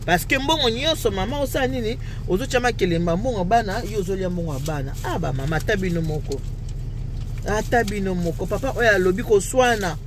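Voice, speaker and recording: A woman's voice: mid-range voice, animated, fairly quick. A Congolese woman whose first language is Lingala, chatting playfully and laughing as she orders food at a drive-through from her car. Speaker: vlogger. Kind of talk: casual vlog chat. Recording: car and traffic noise.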